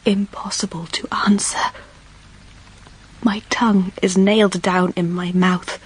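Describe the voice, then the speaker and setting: Very breathy, yearning young governess. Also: female voice, mid-range voice, flat delivery, measured pace, low-quality recording. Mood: yearning, self-reproaching. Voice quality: breathy, hushed. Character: shy, yearning young governess thinking privately. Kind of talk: intimate inner-monologue narration in audio drama.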